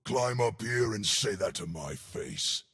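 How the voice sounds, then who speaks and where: An actor voicing a huge, friendly, blustery stone gargoyle in a video game, with boastful, simple wording and a gravelly voice. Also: male voice, lowish voice, big pitch swings, slow pace, fairly bright tone, full character voice. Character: huge friendly stone gargoyle colossus, blustery, dim, big-hearted protector. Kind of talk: video game character voice lines.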